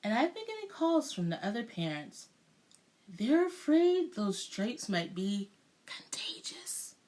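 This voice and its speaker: A woman's voice: on the high side, sing-song, slow, darkish tone. A polite but firm school principal from a children's story, voiced by a woman. He sounds apologetic and takes his time, with varied intonation. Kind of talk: children's storybook read-aloud.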